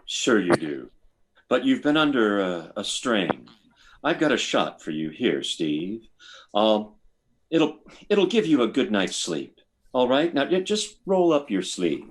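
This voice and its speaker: Male actor playing measured military medic, lowish voice with lively swings, vintage sci-fi radio drama. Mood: soothing. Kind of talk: 1950s radio drama acting.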